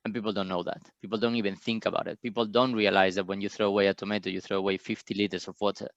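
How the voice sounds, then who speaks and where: A man's voice: fairly low pitch, flat delivery, very fast, no room sound, muffled recording. A man from Spain, speaking passionately on a panel and firing off rhetorical questions. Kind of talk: online panel discussion.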